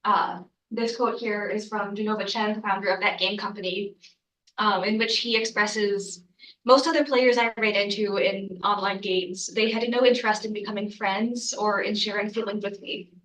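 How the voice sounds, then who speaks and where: A woman's voice: medium pitch, fairly even intonation, normal speed, a bit bright, recorded from a distance. Young woman with a cold giving a class presentation, kinda stuffy, lots of ums, echoey room from a bit away. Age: young adult. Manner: earnest, with frequent ums and uhs. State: congested from a cold. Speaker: student presenter. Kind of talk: academic research presentation. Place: lecture room.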